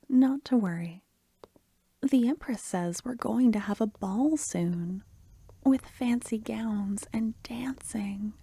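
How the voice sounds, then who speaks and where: A woman voicing an affectionate young female court necromancer in a soft, half-whispered, tender and intimate fantasy ASMR roleplay. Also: medium pitch, slightly rough, no room sound.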